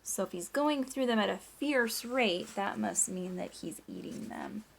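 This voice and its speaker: A woman teacher doing an animated read-aloud of a children's story, with chatty asides and questions to young listeners. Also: mid-range voice, unhurried, gravelly, a little hiss or hum. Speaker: teacher reading aloud to class.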